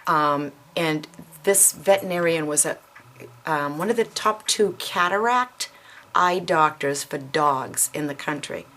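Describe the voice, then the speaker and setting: A woman's voice: deep voice, animated, unhurried, pushed and bright. A woman in her fifties chatting casually to camera, with frequent ums and self-corrections. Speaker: vlogger.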